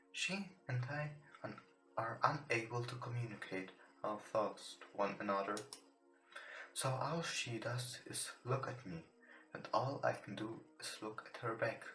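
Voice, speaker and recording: A man who is not a native English speaker, reading visual novel text aloud for a let's play, somewhat haltingly. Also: mid-range voice, a bit bright. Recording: roomy echo with music behind.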